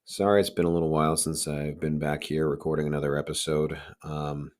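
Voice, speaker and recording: A middle-aged man hosting a casual solo podcast, with a raspy, hoarse voice and a candid, halting, informal delivery. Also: rumbling low, little pitch movement, darkish tone. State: hoarse, recovering from lost voice. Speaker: podcast host.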